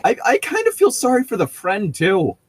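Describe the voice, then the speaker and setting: A man's voice: high-pitched, huge pitch swings, moderate pace. A man in casual podcast chat, blunt and wry, swearing freely. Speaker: co-host of a reading podcast.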